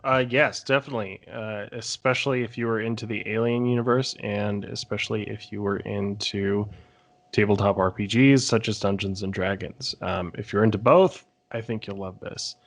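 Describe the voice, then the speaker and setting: A man with a fairly low voice talks as a panelist on a podcast. His delivery is casual and conversational, like someone sharing a review with friends. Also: fairly even intonation, moderate pace.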